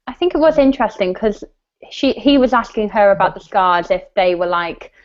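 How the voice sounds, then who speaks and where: A woman's voice: medium pitch, lively intonation, moderate pace. A woman hosting a casual book chat, talking in a conversational, hesitant way with plenty of filler phrases. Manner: hesitant, conversational, many "like" and "kind of". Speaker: live show host discussing books. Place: video call live stream. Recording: dull lo-fi online call audio.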